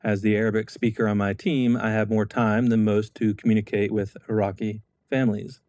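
Solemn low-voiced American veteran. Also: male voice, level tone, a little fast, a little mellow, dry recording. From United States. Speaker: former Marine and state legislator giving an address. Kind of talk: scripted patriotic speech.

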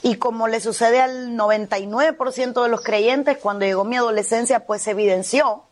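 A woman's voice: fairly high pitch, fairly even intonation, normal speed. A middle-aged woman pastor from Cuba, with a Cuban Spanish accent, telling her testimony with fervent earnestness in a TV interview.

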